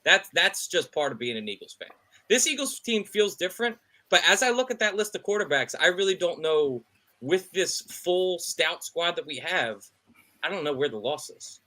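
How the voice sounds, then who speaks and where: Hyped guy on a sports podcast, kinda high bright voice, gets loud and animated. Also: moderate pace. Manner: excitable, animated, says "bro" and "man". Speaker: podcast co-host. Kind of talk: casual sports chat.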